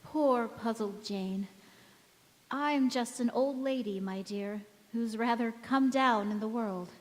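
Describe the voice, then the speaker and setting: A woman acting a mysterious, wistful young stranger in a stage radio drama, speaking in a gentle, cryptic way. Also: a little high, slow pace. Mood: knowing, searching. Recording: echoey hall with long reverb.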